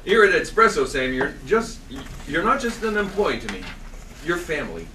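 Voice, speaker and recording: A man's voice: a little high, very animated, moderate pace, crowd noise. A man playing an anxious widowed coffee shop owner in a comedy table read. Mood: upbeat. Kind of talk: comedy table read before a live audience. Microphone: far camera. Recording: distant, echoey stage recording with background noise.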